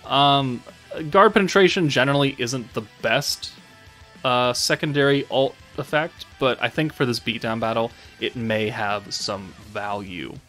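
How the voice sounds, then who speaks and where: A man's voice: medium pitch, unhurried, pushed and bright, faint music behind. A man giving casual, informal game commentary as a channel host, rambling and thinking aloud as he goes.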